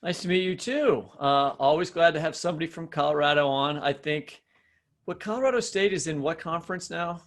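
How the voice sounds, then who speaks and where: A man's voice: a little high, a little fast. An American man co-hosting a podcast, putting questions to a guest in a conversational interview style.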